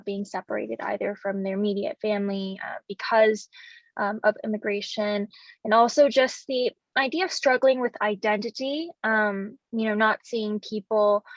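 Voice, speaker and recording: A woman's voice: mid-range voice, dry recording. Young woman interviewing over a video call, polite, lots of ums, muffled dry audio. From United States. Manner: polite, hesitant, frequent ums. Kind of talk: interview questions.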